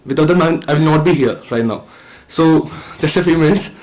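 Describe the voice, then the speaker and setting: Student filmmaker, male, 19, Indian accent, higher-pitched, earnest and halting delivery from a stage. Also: a little mellow, poor audio. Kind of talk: informal thank-you speech on stage. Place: event stage at a film festival.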